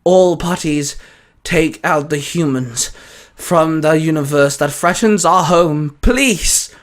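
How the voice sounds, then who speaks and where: A man voicing a power-mad doctor tyrant with theatrical, drawn-out proclamations in a fan fiction story. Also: on the high side. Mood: grandiose command.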